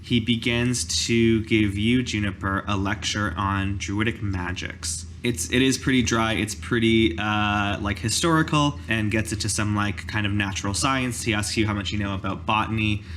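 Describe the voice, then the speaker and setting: Low-voiced man as game master. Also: moderate pace, hardly any pauses, fairly bright tone, a little hiss or hum. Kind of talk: narrating a tabletop roleplaying game.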